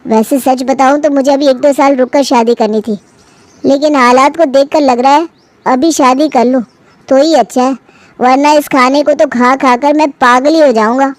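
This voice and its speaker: A frustrated young man, voiced by a woman in a cartoon family story. He grumbles wearily in a high-set voice, recorded close and dry. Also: steady tone, normal speed, no room sound, lightly acted. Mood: resigned. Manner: grumbling, weary.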